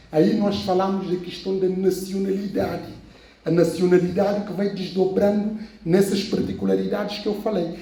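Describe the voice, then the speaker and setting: A man's voice: high voice, dark tone. Guinea-Bissau-accented man preaching in an echoey hall. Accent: Guinea-Bissau Portuguese. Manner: digressive, with frequent "pronto" and repeated phrases. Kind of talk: sermon. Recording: very echoey room, voice from far off.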